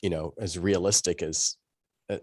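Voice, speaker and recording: A man's voice: low voice, fairly even intonation, moderate pace, a little mellow, husky, no echo, clean studio sound. A man speaking as a podcast panelist, explaining things in a rambling, conversational way. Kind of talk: conversational discussion.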